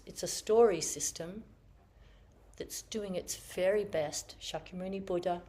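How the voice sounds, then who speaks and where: A woman Zen teacher giving a dharma talk in slow, reflective, searching phrases, her voice a little croaky after being ill. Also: lowish voice, darkish tone. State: slightly croaky from illness. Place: meditation hall with online audience.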